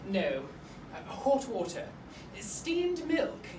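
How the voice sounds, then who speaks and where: A woman's voice: high-pitched, huge pitch swings, very slow, voice pushed up, exaggerated voice. A woman playing a pompous, awkward boarding school headmaster in a comic monologue, with fussy, rambling formal politeness. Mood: fussily genial. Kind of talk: comic monologue in a speech competition performance. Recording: roomy, distant mic, clear background noise.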